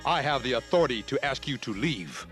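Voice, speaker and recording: Man as a cartoon western deputy, dignified, composed, formal, firm, medium-pitched, music underneath. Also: expressive, a little fast, a bit bright, natural voice. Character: calm, dignified Navajo deputy, loyal male companion. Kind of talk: animated series voice acting. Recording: music behind the voice.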